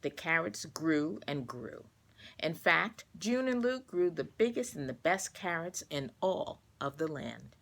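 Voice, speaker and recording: A woman reading a children's storybook aloud, expressive and carefully paced for young listeners. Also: low-pitched, measured pace, creaky, a little hiss or hum.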